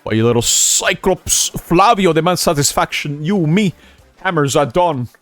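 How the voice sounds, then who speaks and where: A man's voice: fairly high pitch, lively intonation, measured pace, a bit bright, dry recording, pitched above the performer's own voice. A man voicing a vain, self-important male adventurer, speaking in a grand, theatrical way. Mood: indignant.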